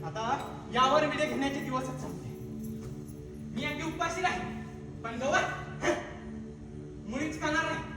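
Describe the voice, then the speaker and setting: A man's voice: very high voice, a little slow, pauses often. A young male student actor with a Marathi accent playing a bitter, miserly old priest husband who scolds and curses, on a theatre stage. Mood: grumbling. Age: young adult. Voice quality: coarse, grumbling, abusive. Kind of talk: live stage acting. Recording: echoey hall from far off with music.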